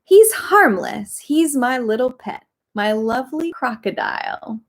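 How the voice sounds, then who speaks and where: A woman voicing a breezy lady who dotes on her pet crocodile, talking in a high, sing-song, scolding way. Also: animated, measured pace. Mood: doting scolding.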